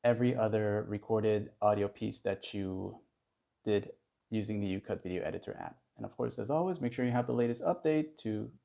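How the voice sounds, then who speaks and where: A man narrating a casual, step-by-step software tutorial as its host. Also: fairly low pitch, brisk, creaky.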